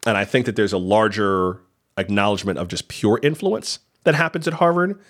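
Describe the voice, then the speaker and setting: A man's voice: fairly low pitch, animated, brisk, a bit bright. A man hosting news commentary, talking in a conversational, skeptical way with wry asides.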